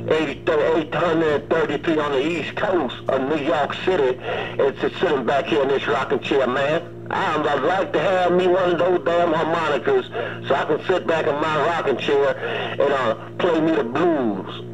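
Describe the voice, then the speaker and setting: A man's voice: fairly high pitch, measured pace, a crowd in the background. Man chatting on a muffled CB radio. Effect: CB radio transmission. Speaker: CB radio operator in New York.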